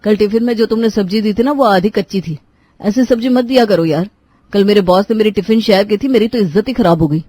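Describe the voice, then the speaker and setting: A grumbling young husband from an animated family story, acted by a woman narrator. He is demanding and fussy, with a complaining manner and dry sound. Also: mid-range voice, fairly quick, dry recording, natural voice. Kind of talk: Hindi cartoon story dialogue.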